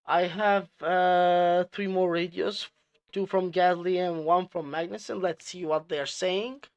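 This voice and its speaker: A man who is a non-native English speaker hosting casual commentary, explaining things in a halting, repetitive way. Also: high voice, fairly even intonation, smooth, no room sound, dull audio. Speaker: host reviewing team radio clips.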